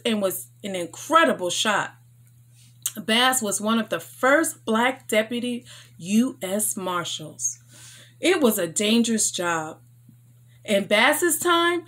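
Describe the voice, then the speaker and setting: A woman hosting a story time, reading aloud for children in an enthusiastic, expressive and emphatic way. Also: fairly high pitch.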